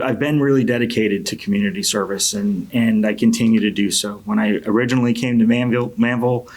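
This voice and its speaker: Halting middle-aged man, low flat voice. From Connecticut, United States. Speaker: city council member and candidate. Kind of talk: campaign opening statement.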